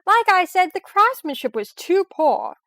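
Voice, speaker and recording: A woman playing a brisk, sardonic professor and treasure hunter in an old-time radio detective drama, tossing off confident wisecracks. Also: very high voice, sing-song, a bit bright, no echo, clean studio sound, full character voice. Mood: confident explaining. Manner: quick sarcastic wisecracks, confident.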